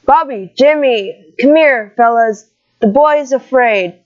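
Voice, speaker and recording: A woman's voice: on the high side, slow pace, dull audio, voice pushed up. A woman voicing a concerned adult hunter in a cartoon comic dub, with a cautioning manner.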